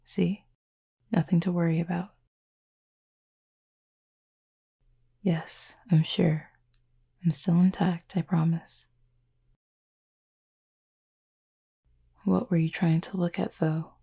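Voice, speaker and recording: A woman playing a gentle, formal cupid roommate in an intimate roleplay audio, speaking softly and breathily with measured, teasing politeness. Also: lowish voice, little pitch movement, heavily acted. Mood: gently reassuring. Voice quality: soft, breathy, slightly husky. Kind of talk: intimate roleplay audio acting, addressed to listener.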